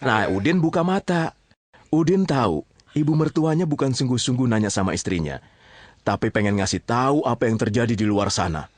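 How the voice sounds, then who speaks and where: A man's voice: medium pitch, lively intonation, brisk, no echo. A man with an Indonesian accent telling a story informally, often checking in with his listeners. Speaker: storyteller narrator addressing listeners.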